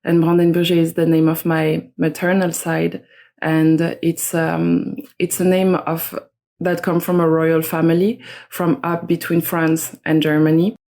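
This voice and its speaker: A middle-aged woman from Switzerland speaks as an interview guest. Her voice sits low and stays level, with little rise and fall. She talks slowly and softly, pausing often to search for her words. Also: flat delivery, creaky. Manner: slow, soft-spoken, searching for words. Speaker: guest, somatic educator and astrologer. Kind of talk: reflective interview talk.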